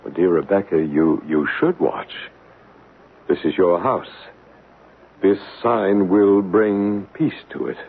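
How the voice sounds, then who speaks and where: A soothing psychic medium, lowish voice, old radio play, thin broadcast sound w/ faint hiss. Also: male voice, takes it slowly, phone-quality sound. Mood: reassuring. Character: calm male psychic medium, gentle and assured. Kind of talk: 1970s radio drama acting.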